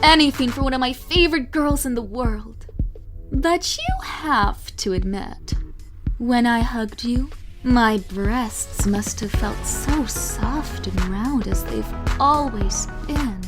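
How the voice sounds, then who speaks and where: Woman acting gushing high-pitched glamorous flirt. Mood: flirty, teasing. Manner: effusive, teasing, drawn-out flourishes. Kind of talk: audio drama acting. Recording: music under the voice.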